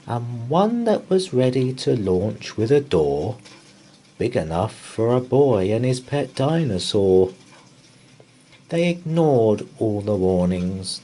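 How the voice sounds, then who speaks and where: A man reading a children's bedtime story aloud in rhyme, with an expressive, theatrical delivery. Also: on the low side, a little hiss or hum, poor audio.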